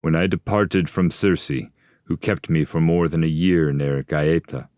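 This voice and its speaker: A man's voice: extremely low pitch, steady tone, a little slow, darkish tone, no room sound, clean studio sound. A man voicing a proud, restless old seafarer's spirit, telling his tale in grave, declamatory verse. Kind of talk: dramatic audiobook reading of epic verse.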